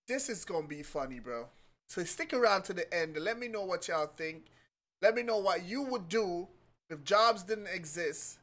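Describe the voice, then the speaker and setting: A man's voice: high voice, lively intonation, moderate pace, fairly bright tone. A young man hosting a reaction channel, talking casually and laid-back from his home studio. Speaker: reaction video host. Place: home studio with monitors and speakers.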